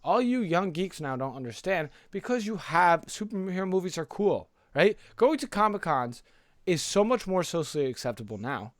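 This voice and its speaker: A man's voice: high voice, big pitch swings, moderate pace, no echo, studio quality. A man co-hosting a casual pop culture podcast, talking in a slangy, rambling, enthusiastic way. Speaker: podcast co-host.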